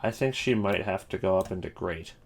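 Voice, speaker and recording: A man's voice: deep voice, fairly quick, vocal fry, slight background noise. A man giving casual, rambling commentary, thinking aloud as he goes. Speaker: video creator ranking game voice lines.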